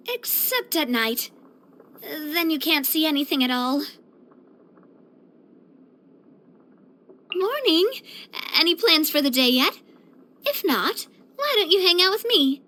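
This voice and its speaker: A woman's voice: high-pitched, moderate pace, full character voice. An actress playing a spirited, proud and affectionate young woman boss in a video game, with a theatrical, bubbly delivery. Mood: playful, chatty. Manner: theatrical, expressive, bubbly, with playful grandiosity. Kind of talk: scripted video game character voice lines.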